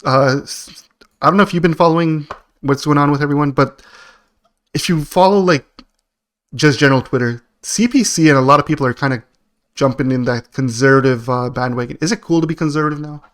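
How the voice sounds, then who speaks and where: A man's voice: fairly high pitch, fairly quick. A man co-hosting a podcast, chatting casually about politics in a slangy, joking way. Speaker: podcast co-host.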